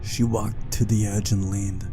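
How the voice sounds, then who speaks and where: A man's voice: on the low side, little pitch movement, a little slow. Man narrating a visual novel over music. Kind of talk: reading game story aloud, scripted narration.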